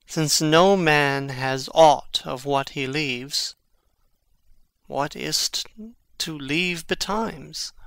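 An actor playing Hamlet, a brooding, witty young prince, in a measured, theatrical Shakespearean reading. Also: male voice, on the high side, gravelly, a bit bright, smooth, no echo, full character voice. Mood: resigned, philosophical. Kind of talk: dramatic reading of Shakespearean verse.

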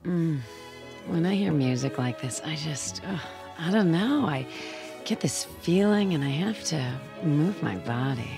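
Low-voiced, sultry flirt. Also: female voice, animated, measured pace, gravelly, music in the background, full character voice. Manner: breezy, coquettish. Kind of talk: radio drama acting.

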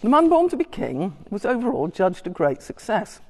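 An older woman giving a scholarly talk from her notes, measured, with small hesitations and asides. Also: mid-range voice, huge pitch swings, a little mellow. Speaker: lecturer. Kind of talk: public talk reading from notes. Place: large church interior. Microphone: distant room mic. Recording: long church reverb.

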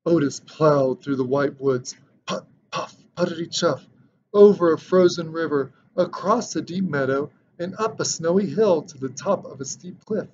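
A man in his thirties reading a children's picture book aloud with expressive, dramatic storytelling. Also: a little high, normal speed. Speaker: storybook reader. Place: indoor decorated room. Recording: dull lo-fi sound with background noise.

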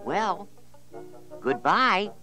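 Guy putting on a happy excited little kid voice, way higher than his own. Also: very slow, music in the background, full character voice. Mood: eager. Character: eager, cheerful young boy.

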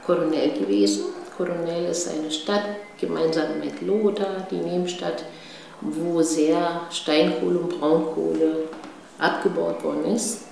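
A woman in her fifties with a Berlin accent tells her family history in a strongly reverberant room with faint noise behind her. Her voice is gravelly with creak. She speaks haltingly, searching for the next word and often checking for agreement. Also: lowish voice, a little mellow. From East Berlin, Germany, born in Chile. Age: 50s. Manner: halting, searching for words, often seeking agreement with tag questions. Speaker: interviewee, political activist. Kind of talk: personal testimony, recounting family history to camera.

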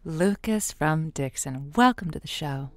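A wry folksy radio agony aunt, lowish voice, really animated, dry studio sound. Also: female voice, a little slow, dry recording. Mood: welcoming. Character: quick-witted, sympathetic woman radio advice host named Josie. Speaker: radio advice show host. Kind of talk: audio drama call-in show acting.

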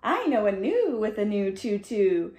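A young woman reads aloud for small children in a sing-song manner. Her pitch swings widely and she takes her time. The room adds noticeable echo around her voice. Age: young adult. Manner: animated, slow, sing-song, addressing children. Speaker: children's dance teacher reading picture books. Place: small furnished room indoors. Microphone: camera a short distance away.

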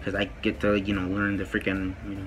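A teenage boy with a deep voice talks into a phone camera in a small bedroom. He rambles casually, full of "um" and "like". A faint hum of room noise sits behind him. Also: completely flat delivery, a little fast, a bit bright. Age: teens. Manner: rambling, many fillers and "freaking". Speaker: vlogger. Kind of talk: casual vlog talk to camera. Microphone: phone camera at close range.

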